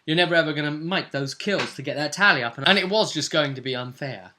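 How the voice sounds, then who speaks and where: Bright-voiced man chatting as game master. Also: on the high side, quick pace, slight background noise. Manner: informal, seeks agreement with tag questions. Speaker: game master recapping a tabletop session. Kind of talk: casual chat recapping game play.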